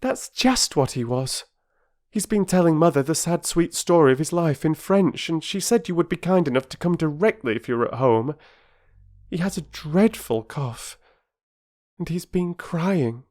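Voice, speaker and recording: A man's voice: on the high side, animated, moderate pace, darkish tone, pitched above the performer's own voice, lightly acted. A man voicing an earnest young girl who talks breathlessly and pleads in a storybook reading. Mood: urgent pleading.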